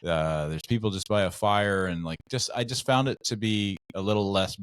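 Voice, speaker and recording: A man co-hosts with a very deep voice, loose and jokey, his thoughts wandering as he talks. The sound is dry and close. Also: moderate pace, clear, resonant tone, no room sound. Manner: relaxed, rambling, jokey. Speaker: co-host. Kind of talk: casual podcast interview.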